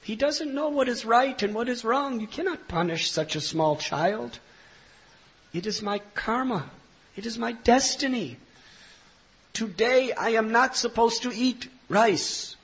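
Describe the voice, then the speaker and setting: Man voicing high-pitched, agitated Brahmin pilgrim in storytelling. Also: a little slow, a bit bright, breathy edge, dull audio, full character voice. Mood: urgent pleading. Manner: crying out, repeating short pleas. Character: agitated, pleading Brahmin pilgrim, forgiving and resigned to fate.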